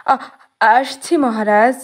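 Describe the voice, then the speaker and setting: A woman's voice: a little high, slow pace. A woman voicing a weary, timid palace maidservant in a cartoon, whining and strained. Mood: exhausted, fearful.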